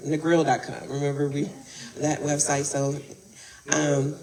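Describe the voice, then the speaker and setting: A woman's voice: sits low, flat delivery, a little slow, darkish tone, rough recording. A woman raised in Chicago, chatting casually in an interview and laughing often as she recalls producing an event.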